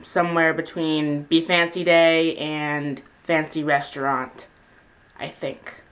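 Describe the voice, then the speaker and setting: नाक से बोलता, सर्दी से जूझता किशोर लड़का, हाथ में पकड़े कैमरे पर. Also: male voice, fairly high pitch, little pitch movement, slow, fairly bright tone. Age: teens. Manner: rambling, self-deprecating, many fillers. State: nasal from a cold. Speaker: vlogger. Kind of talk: casual daily vlog. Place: rooms of a house and outdoors. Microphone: handheld camera at arm's length.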